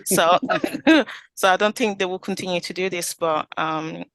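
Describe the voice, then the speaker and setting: A woman's voice: on the low side, fairly even intonation, a little slow, fairly bright tone, no echo, poor audio. A young woman with an Italian accent, telling her story as an interview guest, earnest and full of restarts. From Italy. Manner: earnest, rambling with many restarts and fillers.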